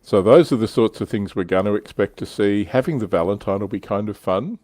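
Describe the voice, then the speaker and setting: A man's voice: lowish voice, fairly quick, darkish tone, no echo. A man hosting a casual livestream discussion about a hobby, talking conversationally. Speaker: livestream host.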